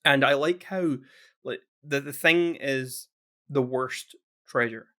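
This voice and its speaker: A man's voice: mid-range voice, slow pace, bright, forceful tone. A man co-hosting a podcast in casual chat, rambling and often restarting his sentences. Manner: chatty, rambling, frequent restarts. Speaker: podcast co-host.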